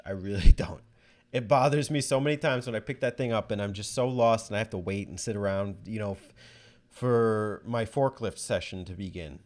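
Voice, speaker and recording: Casual man hosting a gaming show. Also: fairly low pitch. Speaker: host answering listener voicemails. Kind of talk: casual video game commentary.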